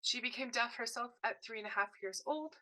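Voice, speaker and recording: A woman's voice: on the high side, brisk, sharp tone. A woman voicing a deaf presenter's signed workshop talk in short, broken phrases, often correcting herself mid-sentence. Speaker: sign language voice interpreter.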